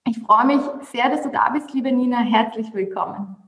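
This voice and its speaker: A woman's voice: fairly high pitch, moderate pace. A woman hosting a podcast, enthusiastic and asking questions with frequent hesitations. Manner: warm and enthusiastic, hesitant "ähm" fillers in questions. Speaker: podcast host. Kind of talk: podcast interview. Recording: roomy echoey sound.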